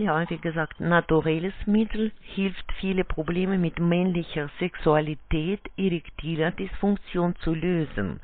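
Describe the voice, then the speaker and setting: A non-native German speaker works as a telesales agent, going slowly through a formal, scripted pitch. The phrasing is halting and a little awkward. The call comes through a muffled phone line. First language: not German. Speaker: telephone sales agent.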